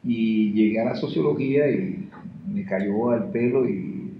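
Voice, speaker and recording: Gravelly Colombian Caribbean man in his sixties. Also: fairly low pitch, unhurried. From Caribbean river region of Colombia. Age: 60s. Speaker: interviewee, sociologist and teacher. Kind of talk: conversational interview answers. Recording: muffled, echoey, noisy room recording.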